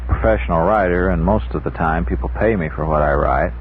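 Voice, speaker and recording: Deep-voiced man on muffled old radio. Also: brisk. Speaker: guest author. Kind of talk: radio interview, casual recollection. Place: 1960s radio studio. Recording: muffled phone-like old radio recording, faint noise.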